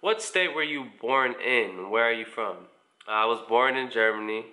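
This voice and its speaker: Young American man, very bright voice. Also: medium pitch, normal speed, rough recording. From United States, mostly Indiana. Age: around 20. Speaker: vlogger answering viewer questions. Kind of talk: casual question-and-answer chat to camera. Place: small living room at home, indoors.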